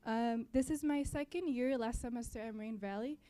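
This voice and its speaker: Young woman from palestine, arabic first language, highish voice, giving a student welcome speech, repeats herself a bit. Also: a little fast, dark tone, smooth. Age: young adult. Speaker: student club board member giving introduction. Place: college library event.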